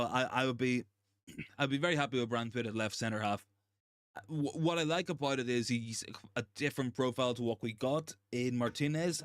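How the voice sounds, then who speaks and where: A young man with a hoarse, gravelly voice, still worn from a virus. He hosts a relaxed livestream, stumbling into restarts and repeating phrases between ums. His voice sits at an ordinary pitch and sounds dry and close. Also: no echo. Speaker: livestream host. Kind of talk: casual live chat reading comments.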